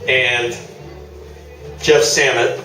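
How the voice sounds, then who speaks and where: A man giving informal, off-the-cuff thanks as playwright at a live curtain call, halting as he goes. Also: mid-range voice, steady tone, over loud music. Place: theatre stage before an audience. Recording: echoey theatre, distant mic, loud audience noise.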